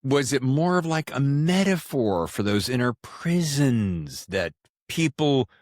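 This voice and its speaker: A man hosting a casual interview, talking in an animated, rambling, jokey way. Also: medium pitch, slow, dry recording, studio quality. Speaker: podcast host interviewing an author.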